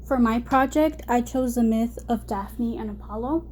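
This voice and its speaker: A young woman with a hoarse voice from a cold, presenting a class project from prepared notes with small restarts. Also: a little high, moderate pace, a little mellow, slight background noise. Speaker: student presenter.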